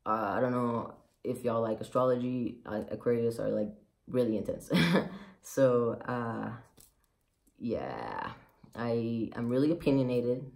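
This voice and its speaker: A twenty-year-old man with a light Mexican Spanish accent talks straight to camera in a casual personal vlog. His voice sits at an ordinary middle pitch, and he speaks openly, rambling and filling gaps with "um" and "like". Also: vocal fry, a little mellow. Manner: candid, rambling, many fillers. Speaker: vlogger.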